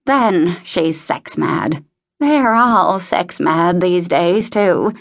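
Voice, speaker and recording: A woman's voice: on the low side, lively intonation, very slow, creaky, full character voice. A woman voicing a crotchety, bossy old woman in a novel, speaking crossly, firmly and with emphasis. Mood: disapproving.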